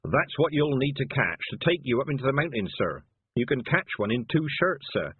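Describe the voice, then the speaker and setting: A man's voice: mid-range voice, sing-song, moderate pace, breathy edge, dry recording, pitched above the performer's own voice. A man doing a polite, fretful cart driver. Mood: deferential. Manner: deferential, keeps saying sir. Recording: dry tinny phone-like sound.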